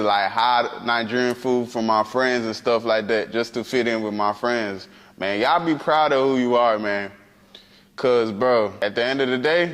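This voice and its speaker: A man telling a casual story in a relaxed way, often laughing. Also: mid-range voice, clean tone, big-room sound. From United States. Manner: laid-back, laughing storyteller. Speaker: interviewee.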